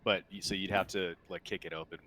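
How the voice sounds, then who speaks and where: Low-voiced man narrating a tabletop game. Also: fairly even intonation, bright, forceful tone. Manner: halting, conversational descriptions. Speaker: game master.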